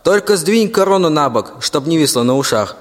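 A dim, scheming general, acted by a young man, speaks in a slightly high voice. He fawns and reports in folksy fairy-tale verse, with long hall reverb over the radio play. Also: lively intonation, brisk, natural voice. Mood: flattering. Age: young adult. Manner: fawning folksy rhymed reporting.

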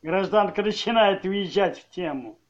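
Guy acting cynical thug debt collector, high voice for a man, blunt and slangy, muffled audio drama. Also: moderate pace, lightly acted. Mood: brusque, mocking. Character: brusque, cynical thuggish male debt enforcer. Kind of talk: amateur audio play acting.

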